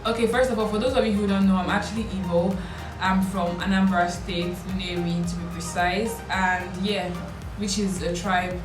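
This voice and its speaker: A woman's voice: medium pitch, fairly even intonation, moderate pace, few pauses. A woman in her twenties from Lagos with a Nigerian accent, chatting casually and playfully to camera as she answers questions. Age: 20s. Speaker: vlogger. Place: small indoor room with bare walls. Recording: roomy echo, distant mic, background music.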